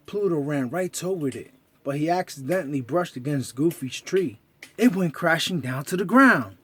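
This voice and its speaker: A man with a slightly high voice reads a children's story. He narrates expressively, as a storyteller does for young listeners. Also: breathy edge.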